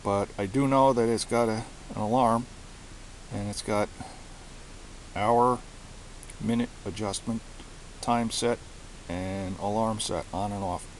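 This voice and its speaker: A man giving a casual show-and-tell of his gear, speaking haltingly and often repeating himself as he searches for words. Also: lowish voice, steady tone, rough recording. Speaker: hobbyist presenter. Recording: hissy amateur home recording.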